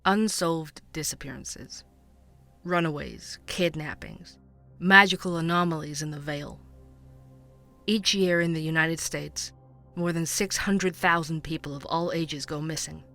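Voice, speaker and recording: A man playing a dogged young true-crime podcast host, narrating in a measured, earnest way over soft music. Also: fairly high pitch, steady tone, vocal fry, full character voice. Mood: ominous. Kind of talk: fiction podcast drama.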